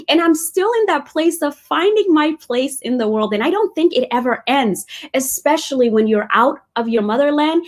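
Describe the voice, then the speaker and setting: A young adult woman from Somalia who grew up in the United States and has a slightly Kenyan-tinged Somali-American accent. She hosts a talk show and speaks in an earnest, reflective way, often pausing to correct herself. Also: fairly high pitch. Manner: earnest, thoughtful, with frequent self-corrections. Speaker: host.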